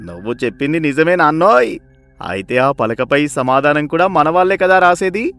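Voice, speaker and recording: A man voicing a young adult son who questions his father eagerly and joins his scheme, for an animated story. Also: on the high side, normal speed, faint music behind. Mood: curious. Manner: inquisitive, eager.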